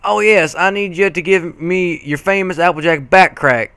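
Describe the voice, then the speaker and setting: Man putting on a rustic old granny pony, high-voiced. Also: moderate pace, pushed and bright, clean tone, no echo. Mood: drowsy. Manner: rustic old-timer phrasing. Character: elderly granny pony, sleepy and cranky.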